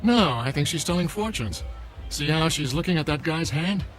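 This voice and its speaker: An actor playing a genial, urbane senior executive in a radio drama, with a teasing manner. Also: male voice, on the high side, expressive, normal speed, faint music behind, natural voice. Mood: amused, coaxing. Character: genial, jovial senior corporate executive, gently teasing man.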